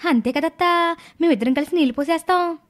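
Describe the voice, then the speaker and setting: A girlish, high-pitched young niece , voiced by a woman, from a cartoon moral story. She is silly and stubborn, arguing and whining in a petulant way. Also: quick pace. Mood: cheeky.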